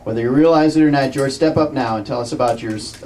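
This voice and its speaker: Man, award presenter, enthusiastic intro, mid pitch, heard from a distance, light noise. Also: fast talker, a little mellow. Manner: enthusiastic, stumbling on a few words.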